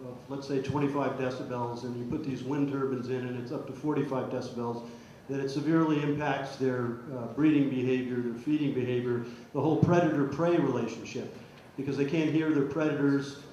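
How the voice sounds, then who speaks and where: Halting man in a very echoey hall. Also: medium pitch, steady tone, dark tone. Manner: halting, hesitant, repeating words. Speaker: panelist at a public forum. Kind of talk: answering audience questions off the cuff. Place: public meeting hall. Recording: muffled, very echoey hall, faint noise.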